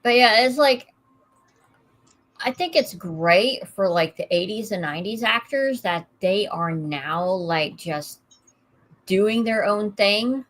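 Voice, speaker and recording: A woman hosts a laid-back evening chat, speaking slowly at a middle pitch. Her tone stays fairly flat, and she drifts through fillers and restarts as she talks. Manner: relaxed, unhurried, many fillers and restarts. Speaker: host. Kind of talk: casual chat stream.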